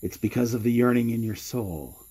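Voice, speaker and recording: An actor playing an eerie, knowing young stranger in a horror radio drama, husky and insinuating. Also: male voice, mid-range voice, brisk, mellow, close to an everyday voice. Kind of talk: audio horror drama acting.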